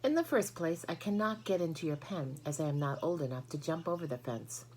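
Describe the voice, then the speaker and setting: Woman putting on a snooty young lamb, pitched up. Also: expressive, brisk, a little mellow, slight background noise. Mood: haughty. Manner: snooty, curt. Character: haughty, dismissive young lamb. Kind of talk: storybook character voice.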